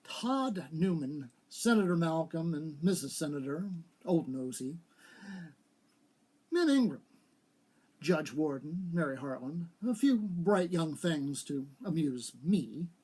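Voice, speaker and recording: A man's voice: on the high side, animated, slow, mellow, breathy edge, exaggerated voice. A man voicing a willful, coaxing younger female socialite in a story reading. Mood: breezy, teasing. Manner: coaxing, gushing.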